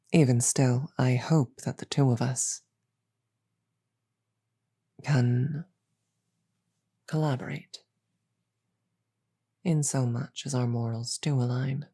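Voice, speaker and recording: A woman playing a regal, measured demon queen in an audio roleplay, grave and wryly amused, pausing deliberately and often slipping toward a whisper. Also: a bass voice, warm tone, breathy edge, heavily acted. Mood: reflective, fond. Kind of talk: scripted audio roleplay, slow dramatic delivery.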